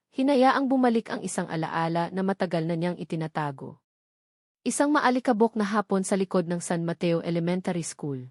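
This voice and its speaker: A woman's voice: medium pitch, a little mellow, no room sound, studio quality. A woman reading a scripted dramatic story as its narrator.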